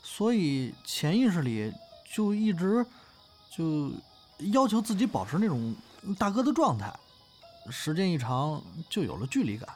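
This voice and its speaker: A man playing a candid, easygoing young performer in an audio drama, speaking colloquially and haltingly. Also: a little high, big pitch swings, a little mellow, faint music behind. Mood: awkward, hesitant. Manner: colloquial, halting, hedging speech. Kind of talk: modern Chinese audio drama acting, naturalistic dialogue.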